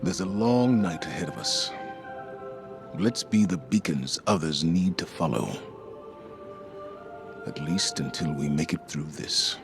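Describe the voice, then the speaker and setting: An actor playing a stoic, weary veteran commander in a video game, speaking in a measured, solemn and formal way. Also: male voice, deep voice, animated. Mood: solemn resolve. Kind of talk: dramatic video game cutscene acting. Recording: music under the voice.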